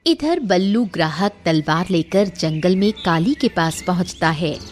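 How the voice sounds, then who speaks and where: A woman's voice: on the low side, lively intonation, measured pace. A woman reading scripted narration for a children's moral story. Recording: clear background music. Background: music.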